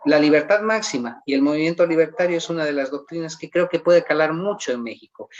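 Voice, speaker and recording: Measured male guest, formal, hesitant "eh" fillers, slightly high voice, muffled audio. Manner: measured, formal, frequent "eh" pauses. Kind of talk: political interview answers. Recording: dull lo-fi audio.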